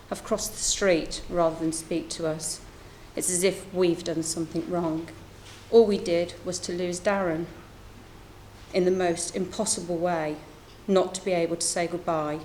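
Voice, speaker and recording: A middle-aged woman reads a prepared statement as a bereaved mother at a press briefing. Her voice sits fairly low, and there is some room noise in the recording. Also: darkish tone, poor audio. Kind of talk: reading a prepared personal statement at a media briefing.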